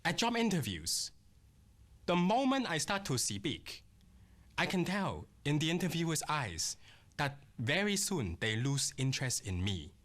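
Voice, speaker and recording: A man in his thirties, an accountant looking for work, giving an earnest, measured scripted monologue to the camera. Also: a little high, fairly bright tone. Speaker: accountant job seeker.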